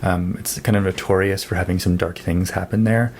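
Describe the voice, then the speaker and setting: A man talks through his recent reads in an easygoing, conversational way, dropping in lots of "um" and "like". His voice sits low and has a gravelly edge. A faint hum of noise sits under his voice. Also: fairly quick. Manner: relaxed and chatty, with frequent "um" and "like". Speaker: book vlogger. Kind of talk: casual monthly reading wrap-up.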